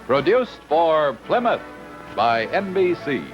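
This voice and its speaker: A man's voice: a little high, big pitch swings, slow. An American man doing scripted 1950s television sponsor announcing. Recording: dull vintage broadcast, music behind.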